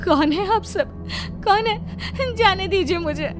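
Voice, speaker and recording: A woman voicing a terrified young wife in an animated horror story, tearful, stammering and pleading in panic. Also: very high-pitched, takes it slowly, music in the background. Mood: panicked. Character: terrified young wife trapped in a haunted house.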